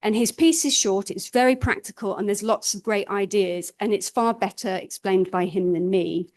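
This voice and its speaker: A woman chairing a panel, introducing the next speaker in a relaxed, slightly halting way. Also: mid-range voice. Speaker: event chair.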